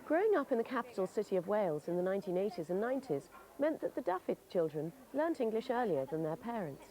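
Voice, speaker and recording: A woman giving measured, formal, scripted narration for a documentary. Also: medium pitch, big pitch swings, mellow, low-quality recording.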